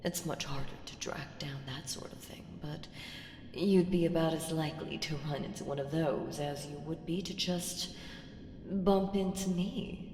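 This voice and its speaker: A woman playing an ancient evil entity in an ASMR audio roleplay, seductive, menacing and playfully condescending, with teasing, drawn-out murmurs that slip into whispers. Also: low voice, darkish tone, a little hiss or hum, lightly acted. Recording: echoing reverb with music underneath. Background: music.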